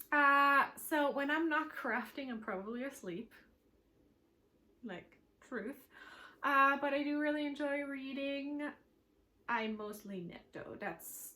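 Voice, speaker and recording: A woman's voice: medium pitch, pushed and bright. A woman from Switzerland whose first language is German, hosting a knitting podcast from home in a rambling, chatty way full of fillers. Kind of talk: casual chat to camera.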